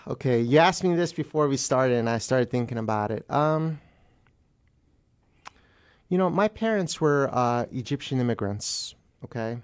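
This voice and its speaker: A man's voice: medium pitch, expressive, normal speed, smooth. Man explaining things as an interview guest. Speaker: guest attorney on a legal talk show.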